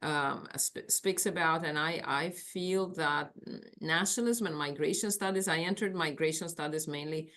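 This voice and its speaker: A woman's voice: sits low, moderate pace, a bit bright. A woman from Greece with a Greek accent, giving a conference talk from her office over a video call on her laptop, thoughtful and searching for words with fillers. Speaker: academic panelist.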